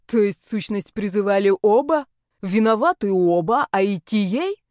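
A woman's voice: mid-range voice, normal speed, dark tone, dry recording, close to an everyday voice. Scrappy, loyal young naga guy performed by a woman, slangy, protective, dry audiobook sound. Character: brash, loyal young male naga snake friend.

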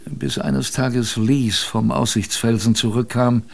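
A survivor of a polar expedition narrating a radio drama. His voice is mid-pitched and creaky. He tells of hardship in a thoughtful, colloquial and wry way. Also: steady tone, takes it slowly. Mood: grave, reflective. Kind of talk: conversational storytelling in a German radio drama.

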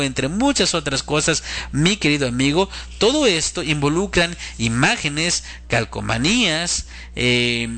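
A man's voice: on the high side, pushed and bright. A man hosting a religious program, delivering an earnest, admonishing semi-improvised sermon and addressing listeners as friends. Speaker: religious show host and preacher.

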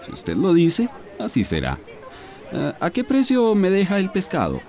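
An actor playing a pushy, sly fish buyer with a Central American accent in a radio soap opera. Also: male voice, high-pitched, wildly swooping pitch, unhurried, dark tone, a faint crowd, heavily acted. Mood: sly, insinuating. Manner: pushy, dismissive, insinuating.

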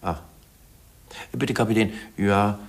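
An actor in a 1950s German radio play as an examining magistrate, formal and courteous while pressing the witness. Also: male voice, lowish voice, slow, gravelly.

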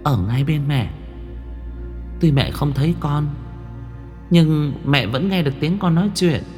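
Young ghost daughter, male narrator acting: high, faint, loving, pleading; audio storybook drama. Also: animated, measured pace, a little mellow, soft background music. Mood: gentle, consoling. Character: weak, loving ghost daughter, young girl comforting mother.

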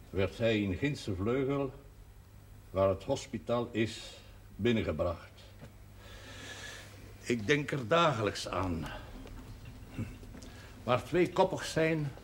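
An old village priest in a period television drama, courtly and wistful with a gentle teasing streak. His phrasing is literary and measured, with small hesitations. The pitch is an ordinary male middle, over faint background noise. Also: expressive. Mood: regretful. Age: elderly.

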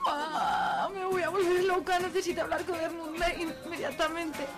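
A woman's voice: very high-pitched, fairly even intonation, normal speed, music in the background. A woman playing a melodramatic, anguished posh teenage girl in a radio soap, with an accent from Spain. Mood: distraught. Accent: Spanish from Spain. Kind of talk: amateur radio soap acting.

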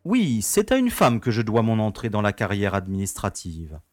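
A man's voice: fairly low pitch, huge pitch swings, moderate pace, no echo, clean studio sound. A man playing an easygoing, genteel prison director in an audio drama, telling things in a wry, nostalgic way. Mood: wistful, amused. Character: urbane, easygoing prison director, former playboy.

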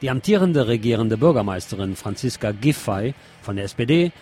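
A man's voice: mid-range voice, lively intonation, a little fast, dark tone, faint music behind. A man presenting radio news, reading from a script in German news style.

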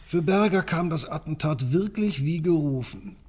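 A man's voice: on the high side, expressive, moderate pace, a little mellow, husky. A man acting in a German radio drama as a shrewd, bitter member of parliament with a dry, knowing manner. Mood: bitter, mocking.

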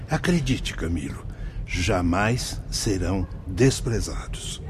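A Brazilian man playing an earnest, kindly spirit guide in a radio drama, speaking in a formal, measured, instructive way. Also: on the low side, darkish tone, slight background noise. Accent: Brazilian Portuguese.

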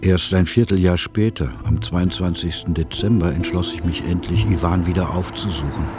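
An actor playing a sober, grave friend recalling his memories in a radio drama, speaking in a measured way. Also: low-pitched, fairly even intonation, lightly acted. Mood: somber, tense. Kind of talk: radio drama narration and dialogue. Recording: music clearly underneath.

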